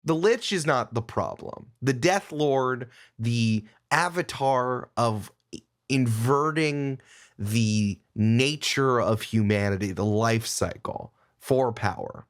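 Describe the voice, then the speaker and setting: Guy on a podcast, casual and opinionated, argues and wanders off on tangents, average pitch. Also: animated, takes it slowly. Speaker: podcast guest.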